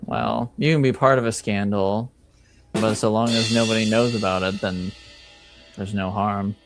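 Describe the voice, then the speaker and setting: A man voicing a guarded, dryly smug underworld informant, speaking evasively and in measured phrases in a tabletop game. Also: on the low side, fairly even intonation, over music, close to an everyday voice. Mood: sly.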